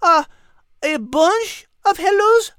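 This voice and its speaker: A woman's voice: very high-pitched, lively intonation, slow, fairly bright tone, no room sound, clean studio sound, heavily acted. A woman voicing a refined, learned, cheerful animal character with a French accent and a prim, polite manner in playful podcast banter. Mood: patient explaining.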